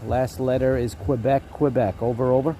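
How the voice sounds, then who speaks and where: Man, medium pitch, ham radio operator and host, casual demo style, phonetic callouts, loud background noise. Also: steady tone, normal speed. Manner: spells call signs in phonetic alphabet.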